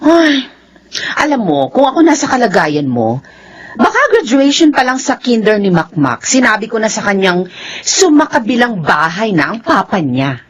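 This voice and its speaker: A woman's voice: mid-range voice, very animated, moderate pace, breathy edge, dull audio. A woman playing a frank, anxious younger sister who nags with emphatic concern, with a Filipino accent, in radio drama style. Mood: reproachful. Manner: emphatic, reproachful.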